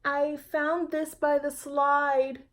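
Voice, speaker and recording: A woman's voice: high voice, little pitch movement, drawn-out words, fairly bright tone, voice pushed up, full character voice. A woman voicing an earnest, slightly plaintive little girl in a children's storybook read-aloud.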